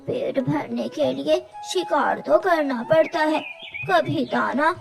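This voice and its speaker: An emotional cartoon mother eagle, voiced by a woman, speaking high and slowly. Her pitch moves widely, and music plays underneath. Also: vocal fry, exaggerated voice. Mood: defensive, grateful. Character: mother eagle, emotional, defensive but grateful. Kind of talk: children's cartoon dialogue.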